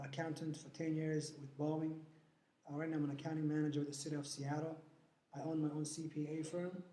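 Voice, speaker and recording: Man from Somalia, interviewee at a fundraiser. Mellow tone, hesitant and earnest, roomy reverb. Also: a little high. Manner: hesitant, frequent "uh" fillers, earnest. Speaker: interviewee, nonprofit board president. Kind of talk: interview answers. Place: event venue after a fundraiser. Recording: roomy echo, faint background noise.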